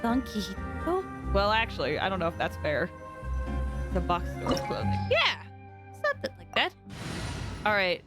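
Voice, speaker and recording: A woman livestreaming a game, casually commenting on play and chatting to viewers with rambling, expressive asides. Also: medium pitch, normal speed, pauses often, over loud music. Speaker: game streamer.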